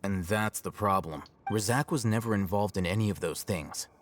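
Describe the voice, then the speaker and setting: An actor playing a serious, measured young male scholar in an anime video game. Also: fairly low pitch, heavy background noise, lightly acted. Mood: grave, explaining.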